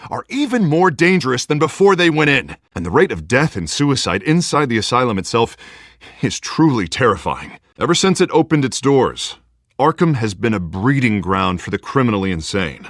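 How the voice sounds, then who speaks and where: A man's voice: medium pitch, sing-song, moderate pace, dry recording, studio quality. An actor playing a confident, persuasive male politician running for mayor, with polished and assertive delivery, in a video game. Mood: grave, persuasive. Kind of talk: video game voice acting, podium speeches.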